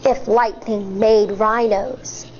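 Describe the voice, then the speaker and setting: An American woman in her thirties, a teacher reading a picture book aloud to children in an expressive, deliberate way. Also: mid-range voice, dull audio, a little hiss or hum. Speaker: elementary school teacher.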